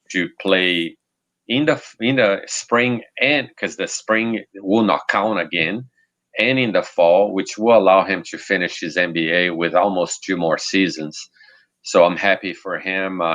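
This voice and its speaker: A middle-aged man, a coach, speaking earnestly and haltingly over a video call. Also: sits low, a bit bright, rough recording.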